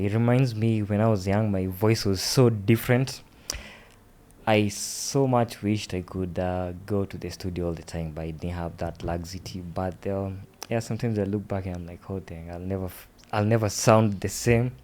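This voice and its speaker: A man's voice: deep voice, normal speed, a little mellow. A man in his twenties, a podcast guest talking in a casual, hesitant way with many fillers. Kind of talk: casual interview chat.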